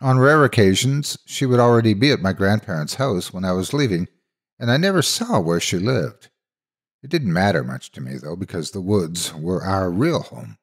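A man with a fairly low, husky voice narrates a horror story. His delivery is measured and dramatic, with lively rises and falls in pitch as the scripted tale unfolds.

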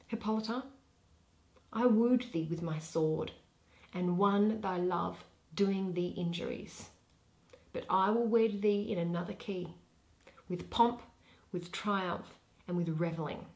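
Woman reading as a stately, unhurried duke. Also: on the low side, a little mellow, reverberant, low-quality recording. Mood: commanding, grand. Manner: commanding, measured, declamatory. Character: stately duke, eager bridegroom and stern judge. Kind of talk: Shakespearean verse reading.

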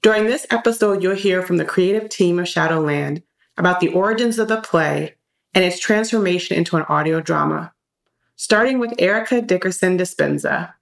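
Low-pitched woman hosting a podcast. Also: a little fast, gravelly. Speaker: host. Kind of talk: scripted podcast narration.

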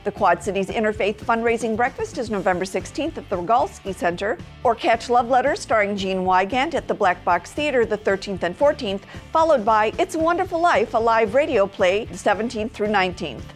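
A woman's voice: medium pitch, animated, brisk, hardly any pauses, faint music behind. A middle-aged American woman presenting a scripted television events calendar. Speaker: TV segment presenter. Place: TV studio.

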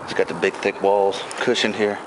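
A man's voice: little pitch movement, moderate pace. A man casually narrating as he explores an abandoned amusement park, guessing aloud what things used to be. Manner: musing, speculative, low-key. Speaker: urban explorer filming. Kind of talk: casual walk-through commentary. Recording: loud outdoor noise on camera mic.

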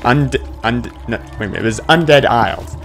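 A man's voice: mid-range voice, expressive, a little fast, creaky. A man giving casual let's-play commentary, muttering asides and bursting into sudden exclamations, as host of a gaming video. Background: game music and sound effects.

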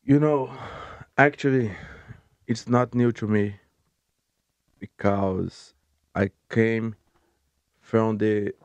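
Brief: young Brazilian man as podcast guest, low smooth voice, unhurried, halting English. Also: steady tone, dry recording, muffled recording. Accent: Brazilian Portuguese. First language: Portuguese. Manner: halting, searching for words in English. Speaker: interview guest, fighter. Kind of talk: casual interview. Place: podcast studio. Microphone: studio mic on boom arm, headphones.

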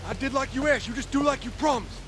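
A man's voice: very high voice, quick pace, noisy background. A man playing a shifty, scheming escaped convict in a video game, with folksy, slangy talk. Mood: tense. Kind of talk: cinematic game dialogue.

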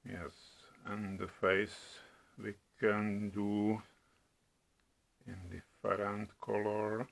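A middle-aged man from the Czech Republic with a Czech accent, demonstrating products at home in a slow, halting way with many hesitations. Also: fairly low pitch, monotone, gravelly. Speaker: product reviewer. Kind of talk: casual product demo. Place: home room at a table.

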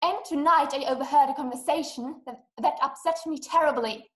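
A woman's voice: sits high, a little fast, natural voice. A young woman playing an anxious, self-pitying, imperious invalid wife in a drama performed over video call. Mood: demanding, upset. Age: young adult. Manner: agitated, imperious, complaining. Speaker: actress. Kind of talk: stage acting in a radio-play adaptation. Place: small room indoors. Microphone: webcam video call. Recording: roomy laptop video-call sound.

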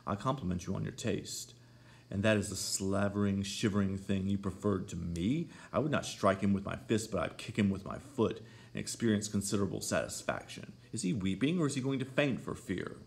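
A man with a very low, gravelly voice voicing a brooding, menacing young man who sneers and threatens in an audiobook. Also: fairly quick. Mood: sneering.

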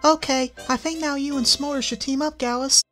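Give me a shy, gentle pony teacher who speaks in a soft, high, careful voice, as a reader puts her on. She sounds kind, with faint music underneath. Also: steady tone, brisk.

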